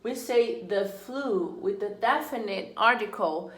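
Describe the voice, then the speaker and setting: A woman's voice: medium pitch, slow. A woman teaching an English grammar lesson, patiently repeating example sentences and explaining each one. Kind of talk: slow, deliberate classroom explanation. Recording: echoey room.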